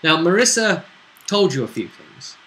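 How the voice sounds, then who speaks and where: Bright-voiced man, explaining game. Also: on the high side, big pitch swings, normal speed, rough recording. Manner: explanatory, checking with tag questions. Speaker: game master and show host. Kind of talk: recapping a tabletop role-playing session conversationally.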